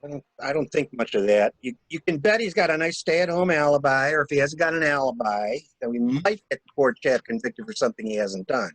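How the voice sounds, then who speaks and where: A man's voice: mid-range voice, lively intonation, fairly quick, few pauses, a bit bright, dry recording. A man in a dramatic mystery reading, playing a shrewd stranger who questions a widow with wry, insistent probing. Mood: wry, calculating. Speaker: library staff reader.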